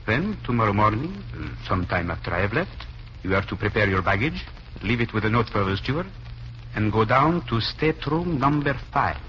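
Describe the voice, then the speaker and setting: A low-pitched male secret agent from Turkey, composed and courteous. He talks deliberately and formally, in old radio drama style, on a muffled recording with some noise. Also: very animated. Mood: confiding, assured. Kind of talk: 1940s radio drama acting.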